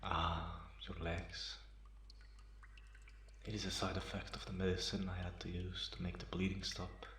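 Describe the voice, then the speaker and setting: Slow, deep-voiced man playing a brooding male vampire. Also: flat delivery. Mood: calm, softly amused. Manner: unhurried, pausing, softly amused. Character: calm, teasing male half-vampire rescuer, darkly restrained. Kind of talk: slow ASMR roleplay monologue. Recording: roomy echo, faint background noise.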